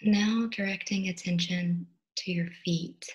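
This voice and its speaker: Lowish female voice, soft gentle meditation teacher, lots of long pauses, soothing instructions. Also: steady tone, dull audio. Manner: soft, unhurried, with long pauses between phrases. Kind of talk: guided meditation.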